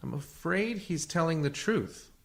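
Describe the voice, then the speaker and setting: An actor playing a calm, shrewd fugitive leader and technician in a radio science fiction drama, with a measured, dry, authoritative manner. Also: male voice, on the high side, gravelly. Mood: calm, commanding.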